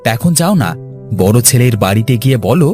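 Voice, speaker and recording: A man's voice: medium pitch, big pitch swings, brisk, warm tone, soft background music, lightly acted. A man voicing a poor, quarrelsome younger son in a cartoon, speaking in a complaining, bickering way. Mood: grumbling. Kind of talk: cartoon voice acting.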